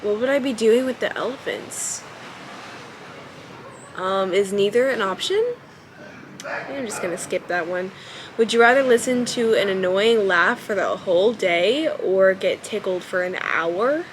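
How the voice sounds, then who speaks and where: Woman with a soft babyish voice. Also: medium pitch, unhurried, slight background noise, rough recording. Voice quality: childlike, baby-ish voice. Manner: relaxed, hesitant, many ums while thinking aloud. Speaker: vlogger. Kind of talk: casual talk to camera. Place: at home.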